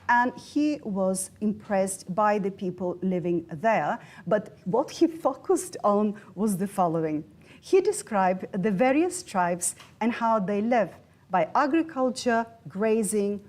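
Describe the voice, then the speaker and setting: A woman's voice: mid-range voice, darkish tone. Turkish-accented woman giving an academic lecture. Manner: measured, with frequent restarts on words and names. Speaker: conference speaker. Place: conference hall.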